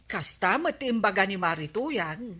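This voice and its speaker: A woman's voice: mid-range voice, big pitch swings, unhurried, sharp tone, slightly rough, heavily acted. A woman playing a village captain's gossipy, easily astonished wife in a radio comedy drama, exclamatory, with an Ilocano accent. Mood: gossipy, concerned.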